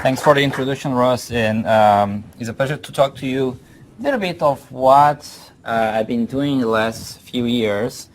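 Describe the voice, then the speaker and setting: Brazilian man, Portuguese-accented English, easygoing professor presenting his research to a room, mid pitch. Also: moderate pace, background noise. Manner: relaxed, informal, repeats words while thinking. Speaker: guest speaker, professor. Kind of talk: academic presentation. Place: lecture room.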